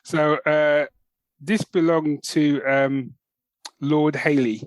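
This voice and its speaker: A man's voice: fairly high pitch, steady tone, extremely slow, no echo, poor audio. Man chatting casually, close and dry. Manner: rambling, loose and colloquial. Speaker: podcast co-host. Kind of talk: casual news chat.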